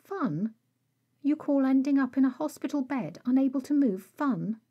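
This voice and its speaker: Woman voicing a stern, scolding male vampire, husky. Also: high voice, expressive, normal speed, dull audio, voice pushed up. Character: stern, exasperated, protective male vampire friend.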